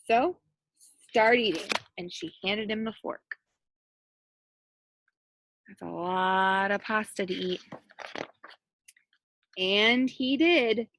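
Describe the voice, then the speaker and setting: A woman reading a picture book aloud in an animated way, with asides to young listeners as a teacher would. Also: mid-range voice, drawn-out words, pauses often, slight background noise, poor audio. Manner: animated, acts out quoted lines and points out pictures. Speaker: elementary school teacher reading aloud to children.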